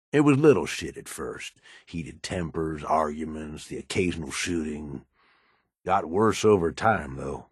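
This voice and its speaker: An actor voicing a gruff, streetwise raider adviser in a video game, with a husky voice and a blunt, coaxing, profane way of talking. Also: male voice, on the low side, dry recording, clean studio sound, full character voice. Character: gruff, streetwise male raider right-hand man, pragmatic schemer. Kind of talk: video game character dialogue.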